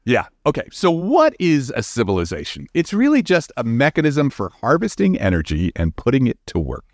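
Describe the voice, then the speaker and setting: A man's voice: medium pitch, wildly swooping pitch, fairly quick, no echo, studio quality. A man talking as a guest scientist in an interview, explaining in an informal, emphatic way and thinking aloud as he goes.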